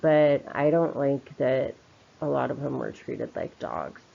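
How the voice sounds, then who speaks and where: Woman with very creaky, low-fi voice. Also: a little slow. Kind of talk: informal spoken commentary. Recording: slightly dull, lo-fi voice recording.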